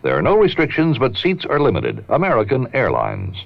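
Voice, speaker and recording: A man's voice: mid-range voice, huge pitch swings, fairly quick, vocal fry, dry recording. A man reading short 1970s radio commercials in a punchy, emphatic way. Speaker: radio ad announcer. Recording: dull lo-fi radio broadcast.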